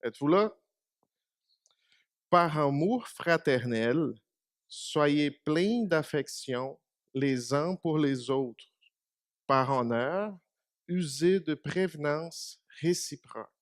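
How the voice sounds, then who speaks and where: Slow, higher-voiced preacher, native Portuguese speaker. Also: male voice, animated, no echo, studio quality. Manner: conversational, asks the congregation questions. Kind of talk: short church meditation. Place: small church.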